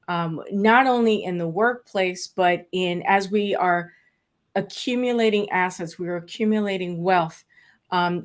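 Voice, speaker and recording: A middle-aged woman, a native Spanish speaker, answering interview questions as an attorney in an earnest, reflective way with many fillers. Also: medium pitch. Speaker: estate planning attorney, guest.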